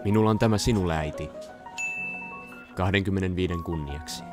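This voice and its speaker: A man's voice: low-pitched, natural voice. A man voicing an exasperated, grumpy middle-aged father in a cartoon parody dub, with an irritated manner. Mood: sentimental. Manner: irritated, foul-mouthed. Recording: music behind the voice.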